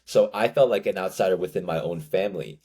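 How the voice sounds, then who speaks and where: Animated, lower-voiced American man. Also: fast talker, breathy edge. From United States, born in Korea. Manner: animated storyteller with many restarts and fillers. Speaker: podcast host. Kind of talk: casual conversational interview.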